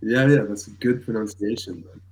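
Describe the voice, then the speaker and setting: A man in his early twenties, a native French speaker, chatting as a guest in a relaxed, laid-back way. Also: lowish voice, a little fast, a crowd in the background, low-quality recording. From Palestine. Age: early 20s. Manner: relaxed, laid-back, slangy, frequent restarts. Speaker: guest musician. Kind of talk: casual conversational interview.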